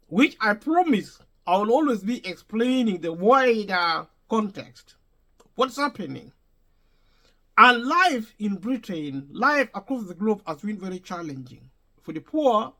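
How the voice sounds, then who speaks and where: A man's voice: sits high, creaky, bright, forceful tone. A man vlogging an unscripted opinion monologue to his viewers, emphatic and prone to restating and rephrasing his points. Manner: emphatic, addresses viewers directly.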